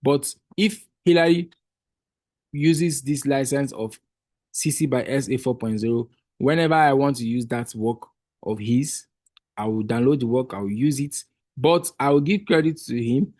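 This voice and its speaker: A man's voice: medium pitch, normal speed, darkish tone, no room sound. A man whose first language is Igbo, explaining a screen-share tutorial step by step to a webinar group, patiently and with frequent restarts. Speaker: workshop trainer.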